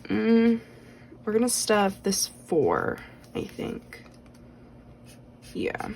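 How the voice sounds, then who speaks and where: A woman's voice: mid-range voice, wildly swooping pitch, very slow, creaky, a little mellow, a little hiss or hum. A woman chatting casually as she stuffs cash into budget envelopes. She rambles with lots of fillers, counts bills aloud and is slightly losing her voice. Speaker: budgeting vlogger.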